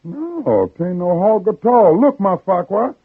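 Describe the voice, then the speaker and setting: Humble folksy southern swamp man, highish husky voice, old tinny radio drama. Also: moderate pace. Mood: alert. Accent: American South. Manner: folksy, animated, deferential dialect delivery. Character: humble freed slave swamp-dweller, folksy, pious, forgiving. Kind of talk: 1950s radio drama acting. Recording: muffled old radio broadcast recording.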